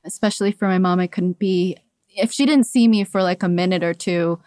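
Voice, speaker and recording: A woman's voice: lowish voice, brisk, a little mellow, dry recording, studio quality. A young adult woman from Cuba, raised in Miami, telling personal stories as a podcast guest, reflective and laughing often between thoughts. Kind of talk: personal storytelling in an interview.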